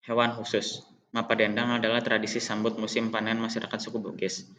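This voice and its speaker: A young man, a high school student, reading a school presentation aloud as an even, list-like recitation with a few slips and restarts. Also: a little fast, a little hiss or hum. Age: young adult.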